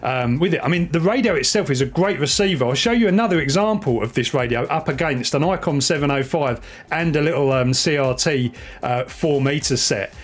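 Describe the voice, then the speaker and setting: A man talks through tech like a video blogger. His voice sits a little high for a man and has a husky rasp. The delivery is informal and explanatory. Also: animated, brisk. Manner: informal, chatty, hands-on explaining. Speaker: amateur radio YouTuber. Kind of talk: casual explanatory tech vlog.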